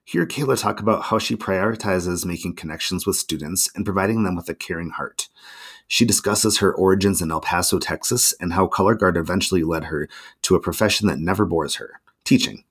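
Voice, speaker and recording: A man hosting a school community interview podcast as an assistant principal, with a measured, upbeat delivery. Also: low voice, fairly bright tone, husky. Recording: music bed under the voice. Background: background music.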